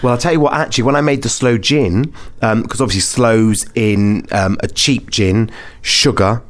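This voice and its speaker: A British man chats casually as the host of a podcast. His pitch sits in the middle for a man. He talks in anecdotes, with a self-deprecating streak and plenty of easy chatter. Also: normal speed. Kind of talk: casual chat.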